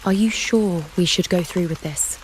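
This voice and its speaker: A woman's voice: on the low side, normal speed, a little hiss or hum, lightly acted, rough recording. Wry, proud young priestess played by a woman. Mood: uneasy. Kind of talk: video game voice acting.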